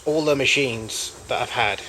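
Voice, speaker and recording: A man giving an informal, unscripted show-and-tell as a collector of old machines, in a halting, rambling way with frequent self-corrections. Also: mid-range voice, pushed and bright, a little hiss or hum. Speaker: collector showing vintage tape recorders.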